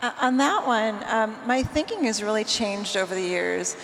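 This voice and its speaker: A woman's voice: fairly high pitch, animated, moderate pace, a bit bright, slight background noise. Thoughtful woman panelist with many fillers. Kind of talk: panel discussion. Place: large conference hall stage. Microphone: handheld mic. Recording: hall reverb, faint ambience.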